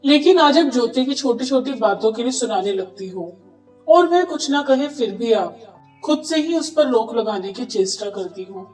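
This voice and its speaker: A woman's voice: a little high, lively intonation, normal speed, darkish tone, roomy sound, distant mic, soft background music, lightly acted. A woman voicing an earnest young husband who reproves like a dutiful son, in a cartoon story. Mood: reproachful.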